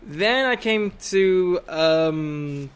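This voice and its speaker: A man's voice: high voice, expressive, extremely slow, slight background noise. A man as a guest in a casual chat, giving brief replies with laughter.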